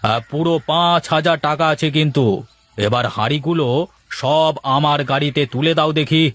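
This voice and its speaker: A man voicing a hearty, approving village merchant in a cartoon, talking in a brisk, patronising manner. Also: fairly high pitch, fairly bright tone, lightly acted. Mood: satisfied, businesslike. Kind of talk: cartoon voice acting.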